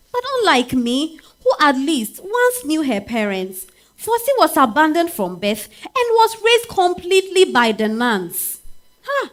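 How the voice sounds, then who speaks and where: Betrayed, grieving wife played by a woman, Ghanaian accent, very animated high voice, exclamatory stage delivery. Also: heavily acted. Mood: wistful, exclamatory. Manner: theatrical, exclamatory, with drawn-out sighs and interjections. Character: bitter, grieving betrayed wife confronting her husband. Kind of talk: dramatic monologue in a staged reading.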